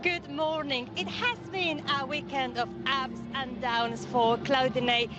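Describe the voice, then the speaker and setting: High-voiced female grid reporter. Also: fairly even intonation, takes it slowly, muffled recording, over music. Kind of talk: pit lane interview. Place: outdoors on the race grid.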